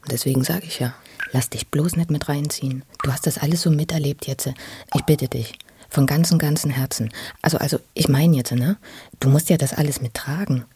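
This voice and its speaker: A person telling personal memories in a spontaneous, confiding way, halting and often repeating words. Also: medium pitch, steady tone, mellow, slight background noise. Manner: halting, repetitive, earnest, confiding. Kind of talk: intimate spontaneous storytelling in a documentary radio play.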